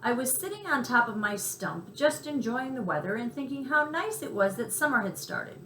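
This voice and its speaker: A woman's voice: a little high, big pitch swings, moderate pace, pushed and bright, reverberant, slight background noise, voice pushed up, low-quality recording. A woman voicing a polite, earnest male cricket who tells his adventure in a mild, chatty way, in a children's story. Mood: wistful reminiscing.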